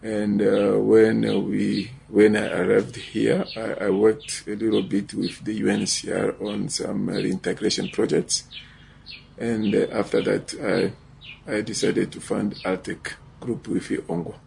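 A man in his forties from Eastern Congo speaks in a deep, heavily gravelly voice. His pitch barely moves. He tells his story haltingly, with frequent pauses and restarts, and a faint ambience sits behind him. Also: flat delivery, a little mellow, slight background noise. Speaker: social entrepreneur. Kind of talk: personal account in interview.

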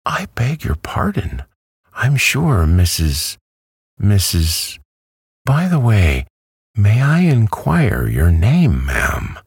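A man's voice: fairly low pitch, huge pitch swings, takes it slowly, creaky, slightly rough, no echo, clean studio sound, pitched above the performer's own voice, heavily acted. A man reading a storybook aloud who voices a polite, earnest little girl. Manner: earnest, well-mannered, eager.

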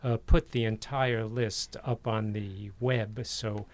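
A man hosting an interview show, speaking slowly and haltingly with many uh fillers. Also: lowish voice, steady tone, no echo. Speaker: interview host. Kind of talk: casual interview.

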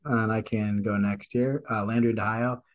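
A young man from Wisconsin co-hosts a podcast in a somewhat low, relaxed voice. He reads announcements casually, with frequent ums and throat clearing. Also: measured pace, muffled recording. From Madison, Wisconsin. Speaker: podcast co-host.

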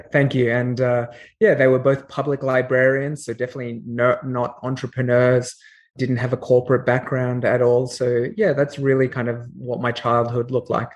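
An Australian man speaking in a middle pitch as a podcast guest. He explains at a measured pace and often pauses on fillers. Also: level tone, darkish tone, lo-fi sound. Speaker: guest, company CEO. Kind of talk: podcast interview.

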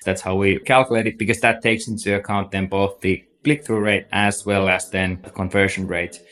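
A man with a Finnish accent and a somewhat low voice explains business topics as a guest in a podcast interview. He talks steadily and at length, leaning on "like" and "so" as he builds his points. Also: level tone, normal speed, fairly bright tone. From Finland. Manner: explanatory, many fillers like "like" and "so". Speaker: agency CEO, guest.

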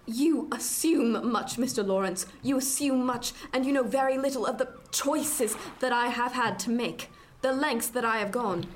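Actress as proud, guarded period gentlewoman. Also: on the high side, normal speed, hardly any pauses, slight background noise, natural voice. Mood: indignant, guarded. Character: proud, guarded wealthy married lady of 1717. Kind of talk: period radio drama acting.

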